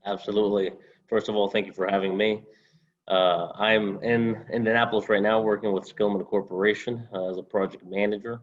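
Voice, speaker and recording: A young man from Honduras, a former student, answering interview questions over a video call in a halting way with many fillers. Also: on the low side, steady tone, dull audio. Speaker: alumnus guest.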